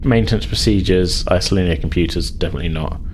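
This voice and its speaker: A man with a low-pitched voice runs a tabletop game, talking through rules and outcomes in a conversational, hesitant way. He thinks aloud as he explains, over clearly audible background noise. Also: quick pace, darkish tone. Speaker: game master. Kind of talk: running a tabletop role-playing game, conversational.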